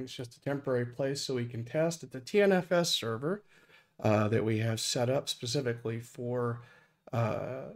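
A hoarse man recovering from illness, casually walking through a live hardware demo at his desk with lots of fillers. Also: medium pitch, a little mellow. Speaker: open-source hardware project lead. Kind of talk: casual screen-recorded tech demo. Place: home desk.

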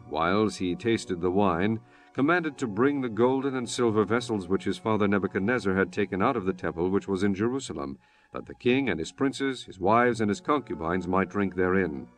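A man narrating a dramatized scripture reading in a solemn, measured manner. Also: on the low side.

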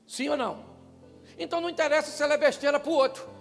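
A man's voice: extremely high pitch, fast, vocal fry. An older man with a Brazilian Portuguese accent, preaching a humorous talk to couples on a church stage with a handheld mic. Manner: joking, animated, asks the crowd questions. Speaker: pastor. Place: church stage, large hall. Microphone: handheld microphone. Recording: live church hall with long reverb and faint music.

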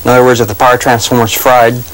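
A man's voice: mid-range voice, little pitch movement, quick pace. A middle-aged man giving an informal practical explanation as a repairman in a workshop, on 1980s TV sound. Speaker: professional radio repairman. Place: cluttered indoor workshop. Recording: slightly noisy 1980s TV location sound.

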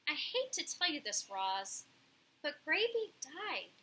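A woman performs a streetwise female pigeon from a storybook, bold and bossy. The voice is high and very bright, leaping around with emphatic, punchy delivery as she takes charge of her flock. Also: very animated, takes it slowly, exaggerated voice. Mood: regretful.

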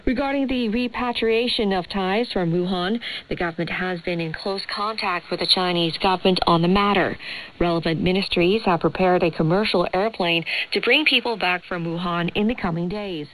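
A woman's voice: on the low side, normal speed, a bit bright, rough recording. A woman radio presenter reading scripted news on a shortwave broadcast. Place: radio studio, heard over shortwave.

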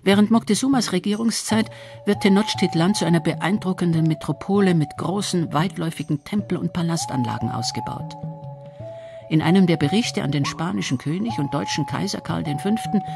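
A narrator reading a scripted history documentary in a measured storytelling register. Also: medium pitch, creaky, music in the background.

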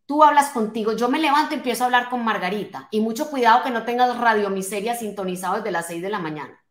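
A woman's voice: fairly high pitch, quick pace. A woman of forty-nine giving an emphatic motivational talk as a coach, addressing listeners directly with rhetorical questions and check-in tags. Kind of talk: motivational talk to an online live audience. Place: small home office, indoors. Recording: roomy, echoing indoor sound.

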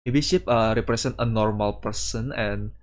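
A man reading a visual novel aloud as a streamer, with casual commentary and halting delivery. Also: lowish voice. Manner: halting delivery, sprinkling in Japanese words. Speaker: VTuber streamer.

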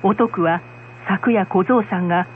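A woman's voice: mid-range voice, a little slow, slight background noise. A woman narrator reading a scripted folktale in storytelling style. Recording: muffled lo-fi radio sound.

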